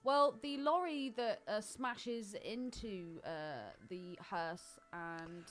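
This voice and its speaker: A woman's voice: medium pitch, slow pace, soft background music. A young British woman co-hosting a podcast, joking crudely and irreverently in casual film chat. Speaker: podcast co-host.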